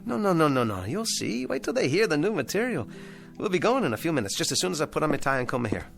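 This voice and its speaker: A man's voice: on the high side, sing-song, fast, creaky, a bit bright, soft background music, full character voice. An actor playing a hesitant, eager-to-please male ventriloquist down on his luck, stammering and placating in a radio drama. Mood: anxious cheer.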